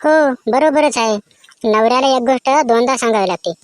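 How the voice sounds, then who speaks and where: A woman playing a sassy, quick-witted wife in a cartoon comedy skit. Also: on the high side, no echo, close to an everyday voice. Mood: sassy, teasing.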